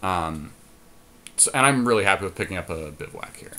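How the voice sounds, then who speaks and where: Male podcast co-host, low-pitched, conversational, talking through game decisions aloud in a casual commentary style. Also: expressive, fairly quick, pushed and bright, slight background noise.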